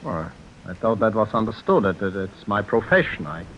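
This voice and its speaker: An actor playing a touchy, formal, bitter diamond dealer from Amsterdam in a vintage radio suspense drama. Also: male voice, fairly low pitch, very animated, moderate pace, slight background noise. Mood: huffy. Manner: formal, huffy. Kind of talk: 1940s radio suspense acting. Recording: dull vintage radio recording.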